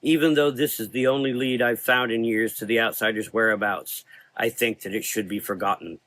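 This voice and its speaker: A man's voice: mid-range voice, fairly even intonation, a bit bright, lightly acted, rough recording. Man playing a weary, brooding occult hunter. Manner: measured, ominous, formal. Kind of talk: gothic horror audio drama dialogue.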